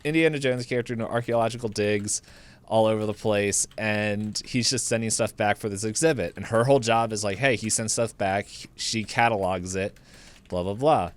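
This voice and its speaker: Man rambling through a clear-voiced comic recap. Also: lowish voice, moderate pace. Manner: rambling, acts out characters' lines in paraphrase; laughs through the telling. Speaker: podcast co-host recapping a movie. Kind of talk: casual chat, comedic movie recap.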